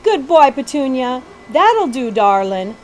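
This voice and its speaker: Woman putting on a bold, high-voiced country girl. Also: sing-song, takes it slowly, slight background noise, heavily acted. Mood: fond, praising. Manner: folksy, plucky, affectionate. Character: bold, tender-hearted country girl with a dancing bear.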